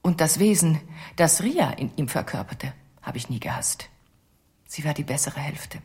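Radio drama wife: weary, betrayed, halting, low and very breathy. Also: female voice, animated, a little mellow, full character voice. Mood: torn, wistful. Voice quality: breathy, husky. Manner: halting, confessional. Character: weary betrayed wife of a ventriloquist, resigned and bitter. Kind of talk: radio play acting.

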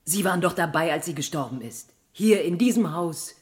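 A woman's voice: lowish voice, expressive, brisk, lightly acted. An actress playing a determined, suspicious woman in a radio crime drama, urgent and persistent in her manner. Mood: troubled, urgent.

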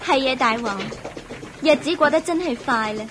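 A woman with a Hong Kong Cantonese accent playing a gentle, devoted queen and foster mother in a radio drama, respectful and measured. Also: high voice, animated, noisy background. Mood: fond, proud.

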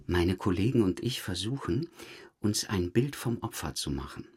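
An actor playing a methodical, calm police detective in an audio crime drama, measured, understated and politely insistent. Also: male voice, low-pitched, breathy edge, faint music behind. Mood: matter-of-fact. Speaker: police investigator.

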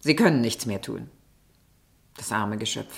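An actress in a 1960s German radio play voicing a frank, worldly confidante who admonishes in a composed, pointed way. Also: female voice, rumbling low, animated, measured pace, vocal fry, heavily acted. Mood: cool, reproving. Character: frank, worldly woman confidante, coolly reproving.

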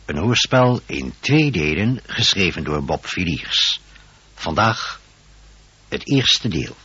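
A man's voice: low-pitched, sing-song, takes it slowly, pushed and bright, breathy edge, dry recording, lo-fi sound. A man announcing a radio drama in a scripted introduction.

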